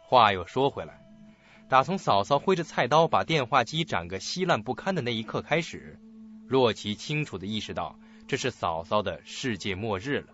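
A man's voice: mid-range voice, big pitch swings, moderate pace, a bit bright. A man narrating a scripted suspense drama as a dramatic storyteller. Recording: dull lo-fi audio with music.

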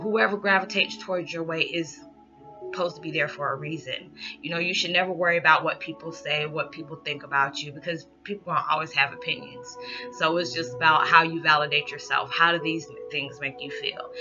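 A woman in her thirties giving a loud, animated motivational talk to her camera in a small living room, recorded on a laptop's built-in mic. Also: sits low. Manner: animated, loud, rowdy, lots of hand gestures. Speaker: vlogger. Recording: dull lo-fi laptop audio with background music.

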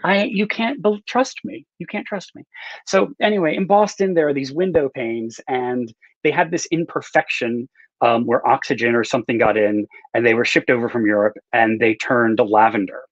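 A man's voice: mid-range voice, normal speed, no echo. Middle-aged man chatting, slightly dull audio. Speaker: author, interview guest. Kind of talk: casual conversational interview answers. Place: indoor room with bookshelves, on a video call.

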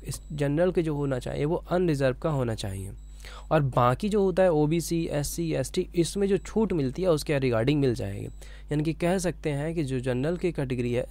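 A man's voice: on the high side, darkish tone, dry recording. Man explaining, dry close sound. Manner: repetitive, checking in with "okay" tags. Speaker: teacher explaining an exam notification. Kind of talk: informal explainer lesson.

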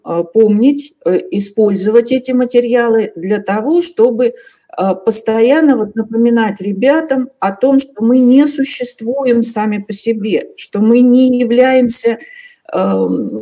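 Slow, mellow woman lecturing online. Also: medium pitch. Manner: halting, measured, formal. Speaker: teacher trainer giving a webinar. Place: indoor room, on a video call.

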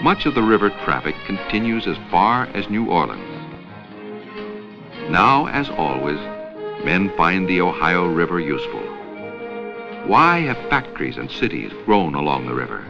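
A dull vintage film soundtrack with music carries a man's low American voice. He narrates an educational film in a measured, instructional way, with lively rises and falls in pitch. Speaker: documentary narrator.

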